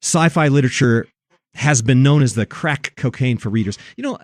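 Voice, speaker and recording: A man's voice: mid-range voice, expressive, normal speed, dry recording. A man hosting a religious commentary on film and culture, speaking in an emphatic, preachy way and repeating phrases for effect. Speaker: host.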